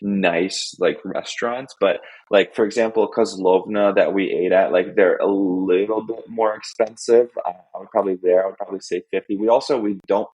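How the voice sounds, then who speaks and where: A man's voice: sits low, fairly even intonation, normal speed, hardly any pauses, darkish tone, no echo, poor audio. A man from Estonia whose first language is Russian, a podcast guest chatting casually with many fillers and restarts. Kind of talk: casual interview chat.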